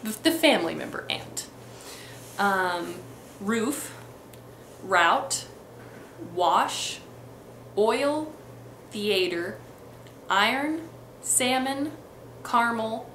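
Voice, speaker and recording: A woman's voice: a little high, lively intonation, extremely slow, a bit bright, roomy sound, a little hiss or hum. Chatty young woman with a light Midwestern accent. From Texas and Missouri, US. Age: young adult. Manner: chatty, many ums and restarts. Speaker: vlogger. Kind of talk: casual accent tag vlog. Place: bedroom, indoors.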